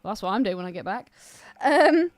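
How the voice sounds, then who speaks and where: A woman's voice: mid-range voice, very animated, brisk, dry recording. A British woman co-hosting a podcast, chatting casually and informally with teasing, sweary banter. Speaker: podcast co-host. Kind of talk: casual film podcast chat.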